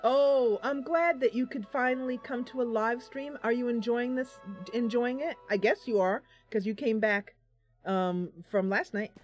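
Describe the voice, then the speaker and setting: A woman's voice: a little high, a little slow, soft background music. A woman streaming a game live, chatting casually and affectionately with her viewers.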